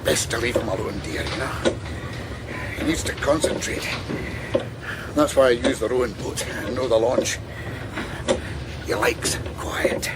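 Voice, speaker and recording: A man's voice: medium pitch, measured pace, long pauses, natural voice. An actor playing a chatty river boatman in a radio drama, with a Scottish accent and a folksy, darkly humorous manner. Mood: hushed. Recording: noisy outdoor river boat sound effects.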